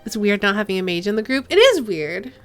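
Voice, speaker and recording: A woman's voice: medium pitch, big pitch swings, brisk, a bit bright, smooth, faint music behind. A woman streaming a game, chatting casually with viewers and reading the game's text aloud.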